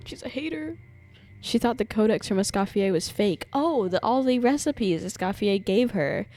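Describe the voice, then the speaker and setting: A woman's voice: mid-range voice, expressive, moderate pace. A woman streaming a video game with casual, teasing live reactions and music playing behind her. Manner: playful, teasing.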